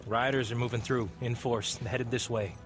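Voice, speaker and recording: An actor playing an urgent, businesslike military lieutenant with clipped delivery in a video game. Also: male voice, medium pitch, fairly even intonation, normal speed, over music, natural voice. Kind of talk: dramatic video game dialogue.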